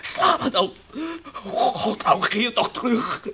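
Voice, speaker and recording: An actor playing a terrified young sailor in a Dutch radio play, pleading with a strained, husky voice and repeating himself in fear. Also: male voice, sits high, sing-song, slow pace, bright, forceful tone, slight background noise, full character voice. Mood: frightened, insistent.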